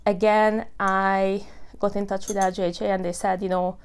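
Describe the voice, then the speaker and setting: Smooth-voiced woman in her thirties. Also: mid-range voice, little pitch movement, moderate pace. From Hungary. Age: 30s. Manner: earnest, with many fillers like "you know" and "uh". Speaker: tenant telling her story. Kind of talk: personal testimony.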